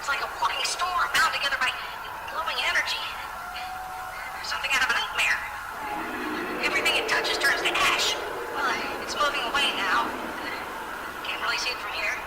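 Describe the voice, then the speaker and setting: A distant, echoing live broadcast buried in loud noise, with a frightened female field reporter played by an actress. She reports a disaster in halting, urgent, awestruck phrases, in the manner of dramatised radio news. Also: high voice, lightly acted.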